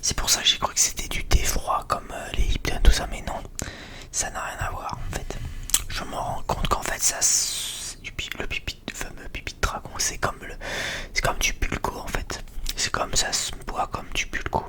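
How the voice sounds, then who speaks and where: A person whispers a breathy, hesitant, rambling ASMR chat to viewers as a video creator. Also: moderate pace.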